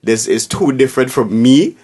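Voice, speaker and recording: Blunt Sint Maarten man, lively pitch. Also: normal speed, breathy edge. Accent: Sint Maarten Caribbean English. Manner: blunt, emphatic, swears freely. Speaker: podcast co-host. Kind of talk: casual podcast chat.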